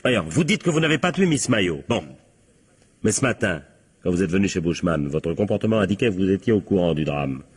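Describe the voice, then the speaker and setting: An actor playing a sardonic, relentless police captain interrogating people in a French radio crime play, pressing and authoritative. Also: male voice, sits low, expressive, fairly quick, slightly rough.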